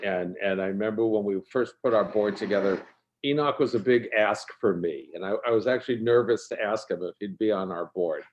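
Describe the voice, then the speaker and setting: A man's voice: lowish voice, a little fast. A man introducing a guest speaker on a video call, reading a biography in a friendly, hesitant way. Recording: dull lo-fi video call audio.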